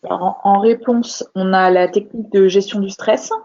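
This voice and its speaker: A woman's voice: mid-range voice, steady tone, normal speed, mellow. A woman moderating a webinar, reading out audience questions with hesitant fillers. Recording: muffled webinar audio.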